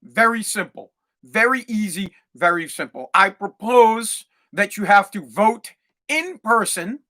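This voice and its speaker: A man's voice: high voice, animated, a little slow, sharp tone. A male podcast host delivering a profane, fired-up rant with lots of repetition. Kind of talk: ranting monologue.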